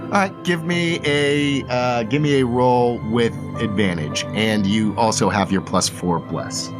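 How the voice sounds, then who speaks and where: A man's voice: mid-range voice, unhurried, over music. A man narrating a tabletop fantasy game as game master, speaking conversationally to his players.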